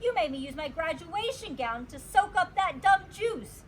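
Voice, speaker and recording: A woman voicing an excitable, dramatic little girl in a children's storybook, with emphatic childlike delivery. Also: very high-pitched, moderate pace, slight background noise, pitched above the performer's own voice. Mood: grumbly. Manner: emphatic, childlike exclamations and grumbles. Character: excitable dramatic little girl narrating her own mishaps. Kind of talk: children's storybook read-aloud.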